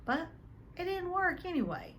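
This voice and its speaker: A woman voicing a gruff, big-hearted giant gamekeeper with rough, colloquial speech in a storybook read-aloud. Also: very high voice, big pitch swings, normal speed, a bit bright, a little hiss or hum, pitched above the performer's own voice, heavily acted. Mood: rueful.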